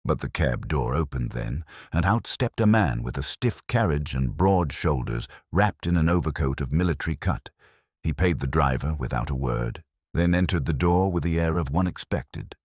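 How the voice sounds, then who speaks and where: A man reading scripted first-person mystery narration in a measured, literary storytelling manner. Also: a bass voice, expressive, darkish tone, breathy edge, no echo, studio quality. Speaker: audiobook narrator.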